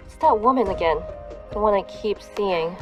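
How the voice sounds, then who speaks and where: A woman's voice: mid-range voice, normal speed, soft background music, lightly acted. A woman playing a guarded, dutiful young soldier in a video game, speaking in a curt, businesslike way. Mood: weary, dry. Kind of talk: video game cutscene acting.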